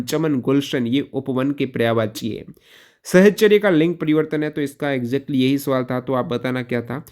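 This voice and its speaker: A man teaching an exam-prep lesson question by question, posing questions and repeating key answers in an instructional way. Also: medium pitch.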